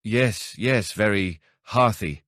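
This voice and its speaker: A man's voice: fairly low pitch, slow pace, fairly bright tone, dry recording, clean studio sound, voice pushed up. A man as a wry, flippant husband. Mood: sarcastic. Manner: sarcastic, flippant. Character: wry, sarcastic horror-writer husband. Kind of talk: audiobook character voice.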